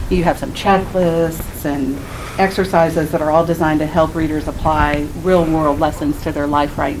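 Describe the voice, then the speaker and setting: A woman moderating an author talk at a library, asking measured, prepared questions. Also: low-pitched, background noise. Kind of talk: interview questions. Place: public library room.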